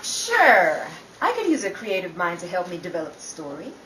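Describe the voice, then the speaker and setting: A woman's voice: fairly low pitch, huge pitch swings, moderate pace. An actress playing a dry, witty woman writer in a 1930s radio comedy, arch and theatrical. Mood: dry, amused. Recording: roomy, distant, noisy stage-like recording.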